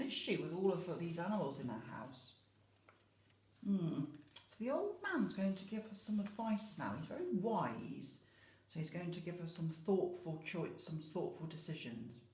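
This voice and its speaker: A woman teacher telling a children's story expressively, pausing to explain and question as if to small children. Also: on the low side, a little mellow. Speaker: infant school teacher reading a story. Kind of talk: animated children's storytelling with asides. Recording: echoey room.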